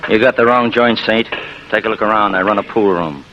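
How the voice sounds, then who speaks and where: An actor playing a shady, evasive pool room owner, slangy and wisecracking, in 1940s radio style. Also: male voice, lowish voice, fairly quick, natural voice. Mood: evasive, uneasy. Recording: dull noisy old radio recording.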